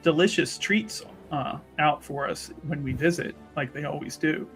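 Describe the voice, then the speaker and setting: A man playing a tabletop roleplaying game, improvising in character and slipping into casual asides in a playful, halting way. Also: a little high, vocal fry, a bit bright, soft background music.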